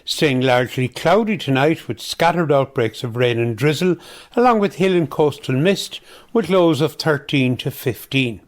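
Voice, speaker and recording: Higher-pitched Irishman reading the weather. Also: expressive, moderate pace. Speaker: community radio weather reader. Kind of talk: scripted weather forecast reading.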